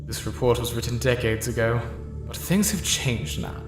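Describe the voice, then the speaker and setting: A man's voice: mid-range voice, moderate pace, music in the background, natural voice. A man voicing a haughty, irritable space opera general in a comic dub, speaking in a clipped, formal, imperious manner. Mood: stern, controlled. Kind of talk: dramatic voice acting in a comic dub.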